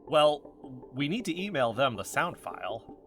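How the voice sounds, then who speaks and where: An actor playing an eager, suspicious amateur investigator in a podcast drama. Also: male voice, medium pitch, sing-song, normal speed, fairly bright tone, faint music behind. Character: eager, conspiracy-minded, nervous male amateur podcast investigator. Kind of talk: audio drama podcast dialogue.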